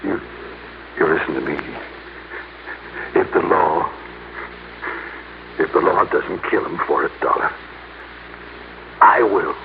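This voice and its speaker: An American actor playing a defensive, stammering small-time crook and husband in a 1960s radio drama. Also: male voice, sits low, slow, long pauses, narrow, tinny audio. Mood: stricken, vengeful. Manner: stammering, repeating words, agitated. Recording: old, band-limited, hissy radio recording.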